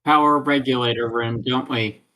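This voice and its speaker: Casual man with a mid-pitched voice. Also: steady tone, normal speed, pushed and bright. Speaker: tabletop game player. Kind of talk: casual game-table chat.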